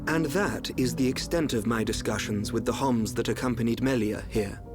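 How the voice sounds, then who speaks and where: Calm, enigmatic seer, played by a man. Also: mid-range voice, music in the background, close to an everyday voice. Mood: grave, measured. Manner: formal, measured, assured. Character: calm, enigmatic young male seer, courtly and composed. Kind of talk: animated game cutscene acting.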